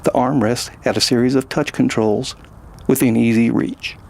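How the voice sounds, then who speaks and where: A man narrating a scripted science fiction story in a measured, dramatic manner. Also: mid-range voice, steady tone, gravelly, darkish tone.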